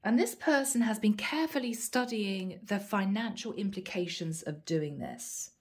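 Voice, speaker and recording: A woman with a head cold giving a tarot card reading, musing softly and thinking aloud as she goes. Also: medium pitch, lively intonation, moderate pace. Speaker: tarot reader.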